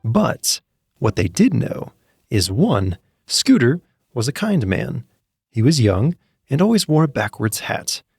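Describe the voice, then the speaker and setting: A man narrating a scripted comic story in an animated, wry way. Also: mid-range voice, slow pace, husky, no room sound, clean studio sound. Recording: music behind the voice. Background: background music.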